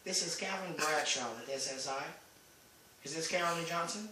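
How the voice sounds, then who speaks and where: A middle-aged man playing a formal, official benefits agent in a halting phone manner for a prank call. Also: on the high side, close to an everyday voice. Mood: insistent. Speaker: prank caller. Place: home kitchen and living room, indoors. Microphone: phone camera across the room. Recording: distant phone-camera recording, echoey room, noisy.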